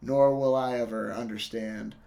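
Gravelly young man, casual podcast host. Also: medium pitch, steady tone, normal speed, warm tone. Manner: loose, profane, laughs often. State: voice worn from a cough.

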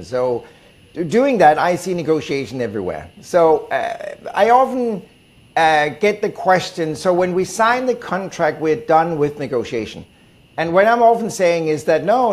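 Higher-pitched Danish man answering questions. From Denmark. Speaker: guest, negotiation expert. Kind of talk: conversational interview answers.

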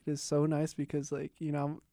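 A young man hosting a casual podcast conversation, chatty and quick to laugh. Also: on the high side, completely flat delivery, mellow, dry recording, studio quality. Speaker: podcast host.

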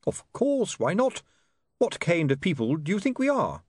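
Man doing a prissy, high-voiced genteel beggar. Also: huge pitch swings, normal speed, darkish tone, breathy edge, no echo, studio quality, heavily acted. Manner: polite, affected, overly courteous. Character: fussy genteel male beggar, the duckman. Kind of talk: audiobook character voice.

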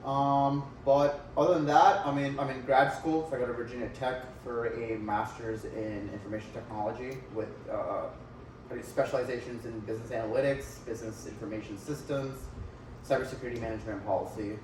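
A man's voice: medium pitch, fairly even intonation, brisk, noisy background. Man in his twenties, echoey distant. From India. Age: 20s. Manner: teasing, lots of fillers. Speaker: podcast co-host. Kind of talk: casual chat. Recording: roomy echo, distant mic.

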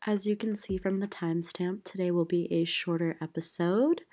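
A young woman hosting a casual solo podcast, hoarse and raspy from being sick, chatty and playful. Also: on the low side, steady tone, moderate pace, mellow, soft background music. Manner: chatty, playful, rambling with fillers. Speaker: podcast host. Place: small room at home.

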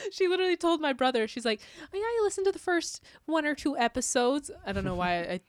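A woman's voice: sits high, sing-song, brisk, no echo. A young American woman co-hosting a podcast in casual, joking banter, often laughing as she talks. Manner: giggly, laughs often while talking. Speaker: podcast co-host. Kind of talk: casual comedic banter chat.

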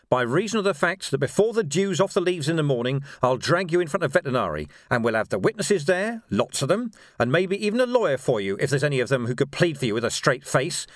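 A man voicing a gruff, righteous middle-aged policeman in an audiobook, speaking in emphatic, colloquial working-class phrases. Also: on the high side, a bit bright, no echo, clean studio sound, pitched above the performer's own voice. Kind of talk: dramatic audiobook character dialogue.

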